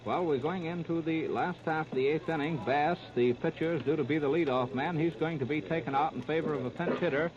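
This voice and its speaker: Male 1930s American radio baseball commentator, higher-pitched voice, clipped delivery, heavy noise on a dull old disc. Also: fairly quick, hardly any pauses. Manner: clipped present-tense running description. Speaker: baseball play-by-play announcer. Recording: muffled, very noisy 1939 radio disc.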